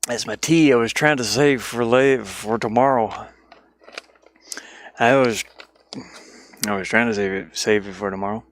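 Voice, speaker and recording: A man's voice: on the low side, measured pace, long pauses, a little hiss or hum. A man chatting casually as a livestreamer and game developer, rambling playfully and easily sidetracked. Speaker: streamer and indie game developer. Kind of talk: casual livestream chat while working. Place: inside a van.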